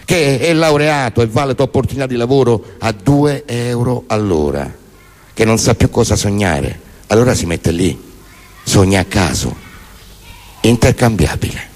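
Male stage performer, mid-pitched; deadpan, declamatory spoken-word delivery. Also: moderate pace. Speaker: stage performer reciting poems and prose monologues. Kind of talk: theatrical spoken-word recitation.

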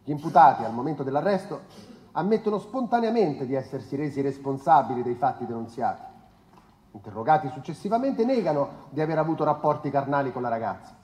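A man playing a formal narrator who reads a police report in measured documentary style. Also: mid-range voice, animated, darkish tone, natural voice. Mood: formal, grave. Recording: echoey room.

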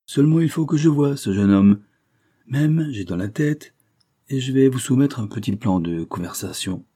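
A man voicing a brisk, shrewd male mentor in an audiobook, emphatic and peremptory with a wry, jovial streak. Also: mid-range voice, lively intonation, mellow, husky, voice pushed up. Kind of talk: audiobook character dialogue.

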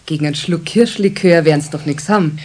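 A woman's voice: low-pitched, a little fast, dark tone, slight background noise, natural voice. A woman playing a friendly, grateful, chatty customer in a children's radio play, with a Bavarian accent and an insistent manner. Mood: cheerful, coaxing.

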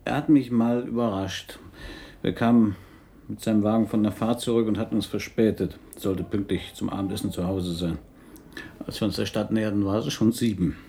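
Wry, unruffled male witness, played by an actor, radio crime play: low-leaning, dark timbre, unhurried. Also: lightly acted. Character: wry, nonchalant man, a slightly wounded shooting victim. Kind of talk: radio crime drama acting.

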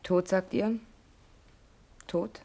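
Mature bereaved noblewoman, low-ish and husky, weak, faltering verse delivery for radio drama. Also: fairly even intonation, drawn-out words, dark tone, heavily acted. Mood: shocked, faltering. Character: faint, grief-stricken noble wife and mother, mature.

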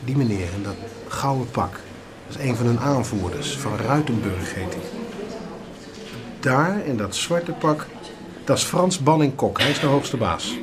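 A man's voice: medium pitch, lively intonation, measured pace, a crowd in the background, close to an everyday voice. A man playing a patient father who explains things to his young son in a radio drama. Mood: patient, instructive.

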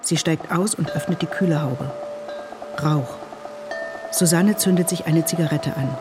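A man's voice: on the high side, unhurried, music in the background. A man reading scripted, screenplay-like narration in short, matter-of-fact sentences. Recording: clear vehicle and ambient noise.

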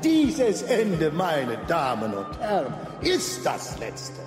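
A man's voice: fairly high pitch, huge pitch swings, slow pace, exaggerated voice. An actor playing a grandiose, oily cabaret showman who effusively hosts the end of the universe in a radio play. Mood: ominous grandeur. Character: gushing, theatrical showman compering the end of the universe. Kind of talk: bombastic cabaret master-of-ceremonies patter. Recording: echoey hall reverb, music and audience behind.

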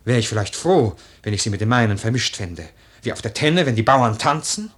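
An actor playing a brooding, eloquent, lordly widower in a literary radio play, with lyrical, deliberate, declamatory delivery. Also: male voice, fairly low pitch, lively intonation, fairly bright tone, slightly rough. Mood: troubled. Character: brooding, eloquent widower, poetic and lordly, drawn to a young woman.

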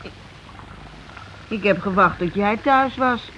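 An actress in a Dutch radio play playing a middle-aged, put-upon housewife, plaintive and passive yet stubbornly answering back. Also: female voice, medium pitch, slow, bright, forceful tone. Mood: worried, resigned. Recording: muffled, hissy old radio recording.

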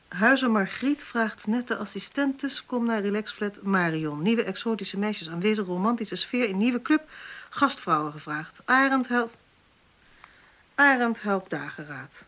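An actress playing a businesslike, sceptical police detective in a radio play, questioning and giving orders in a matter-of-fact way. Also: female voice, on the high side, a bit bright, heavily acted. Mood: sceptical, probing. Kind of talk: naturalistic radio play acting.

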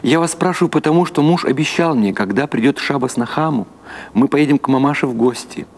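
A man's voice: mid-range voice, fairly quick, voice pushed up, lightly acted. A man voicing a naive, credulous innkeeper's wife who gushes eager questions in Yiddish-flavoured phrasing, in a storybook reading. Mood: eager, hopeful.

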